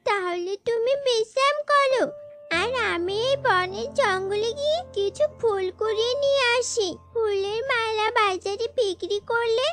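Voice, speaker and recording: A woman's voice: extremely high pitch, unhurried. A woman voicing a small, caring, obedient young girl bird in a children's cartoon.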